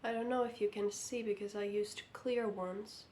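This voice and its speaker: A woman from Finland with a Finnish accent, speaking English, sounding croaky from being sick as she talks through a knitting update slowly and carefully. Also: medium pitch, steady tone, darkish tone, reverberant, a little hiss or hum. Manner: slow, careful, hesitant. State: hoarse from illness. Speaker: knitting vlogger. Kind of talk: casual vlog update. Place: indoor room under lamp light.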